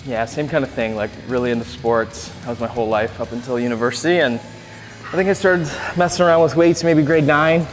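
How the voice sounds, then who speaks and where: A man's voice: medium pitch, steady tone, fairly quick. Easygoing young guy in echoey gym. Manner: easygoing, agreeable, casual slang. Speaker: workout partner. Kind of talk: casual gym chat. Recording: echoey gym with music behind.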